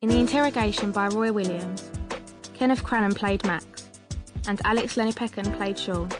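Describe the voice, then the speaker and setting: A woman's voice: mid-range voice, normal speed. A woman reading scripted cast credits as a radio continuity announcer. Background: loud music.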